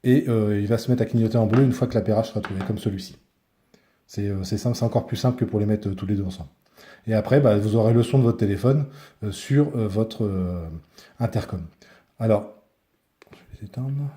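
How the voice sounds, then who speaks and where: A man's voice: on the low side, a little fast, warm tone. A man giving a casual hands-on product review, speaking informally and often searching for his words.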